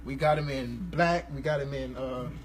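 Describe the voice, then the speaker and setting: A man, hosting a casual live stream about gospel music, talking in a relaxed, rambling, slangy way. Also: medium pitch, normal speed, over a noisy crowd. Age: 20s.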